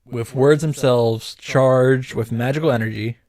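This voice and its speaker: A man's voice: mid-range voice, steady tone, measured pace, a little mellow. A man delivering a scripted comedic recap commentary in a wry, profane, mock-grandiose manner. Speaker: visual novel reactor and narrator. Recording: background music under the voice.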